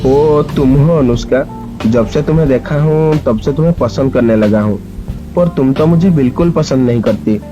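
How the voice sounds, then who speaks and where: A man playing a caring, smitten young office boss in an animated story, gently insistent, with music and rain behind him. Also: medium pitch, moderate pace, lo-fi sound, lightly acted. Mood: romantic, confessing.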